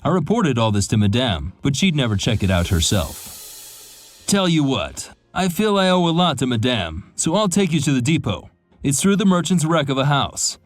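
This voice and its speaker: A man's voice: mid-range voice, sing-song, moderate pace, soft background music, natural voice. An actor playing an old, slightly tipsy sea captain in a game cutscene, telling his story with a drawl. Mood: gruff.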